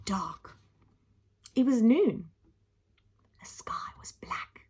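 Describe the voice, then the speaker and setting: A woman's voice: on the high side, very animated, extremely slow, mellow, breathy edge. A woman reading a children's storybook aloud like a teacher, with dramatic emphasis and simple explanatory asides.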